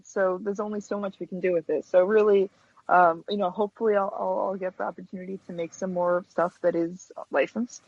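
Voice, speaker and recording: A woman's voice: on the low side, muffled recording. A woman chatting casually as an interview guest, informal and hesitant, often correcting herself. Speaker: guest, stop-motion animator.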